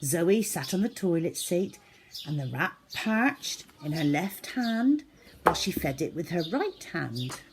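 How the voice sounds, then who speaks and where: A woman reading a children's book aloud as a storyteller, in an expressive, theatrical manner. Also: on the low side, unhurried, a little hiss or hum. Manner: animated, theatrical, with acted-out sound effects.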